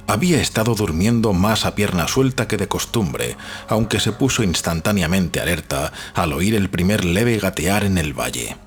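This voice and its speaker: A man from reads literary fiction as a scripted audiobook narration, in a measured, expressive storytelling manner. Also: low-pitched. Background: background music.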